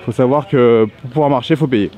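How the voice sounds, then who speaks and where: A man's voice: mid-range voice, fairly quick, narrow, tinny audio. A man joking and chatting casually while walking outdoors, filming a travel vlog. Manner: joking and teasing. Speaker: travel vlogger. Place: outdoors on a nature reserve trail.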